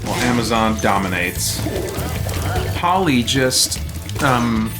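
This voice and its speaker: A man's voice: medium pitch, expressive, takes it slowly, music in the background. A man hosting a livestream, chatting casually while a video game is played. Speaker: livestream host.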